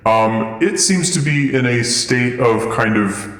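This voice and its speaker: A young man running a tabletop game as dungeon master, relaxed and halting, with lots of ums. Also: medium pitch. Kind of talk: casual tabletop game narration. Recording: echoey room with long reverb.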